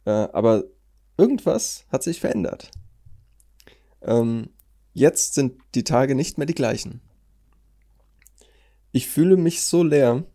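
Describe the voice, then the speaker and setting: A man's voice: mid-range voice, animated, takes it slowly, pauses often, dark tone. A young man hosting a casual podcast, joking around as he quizzes his guests. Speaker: podcast host.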